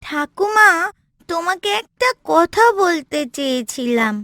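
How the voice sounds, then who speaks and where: A woman's voice: extremely high pitch, expressive, takes it slowly, bright, forceful tone, no echo, studio quality, voice pushed up, heavily acted. A woman voicing a sweet, eager little village girl, an affectionate granddaughter in a cartoon story. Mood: curious. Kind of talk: cartoon voice acting.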